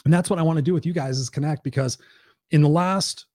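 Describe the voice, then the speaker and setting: A man with a hoarse voice, hosting a live-stream show as a life coach, talking in an energetic, profane, rambling way. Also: on the high side, fast, dry recording, studio quality. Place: home.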